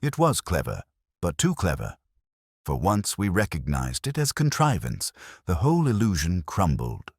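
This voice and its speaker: A man's voice: deep voice, wildly swooping pitch, normal speed, slightly rough, no room sound, studio quality, heavily acted. A man voicing a cerebral detective who speaks in a grave, didactic way with formal Victorian phrasing. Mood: dryly analytical.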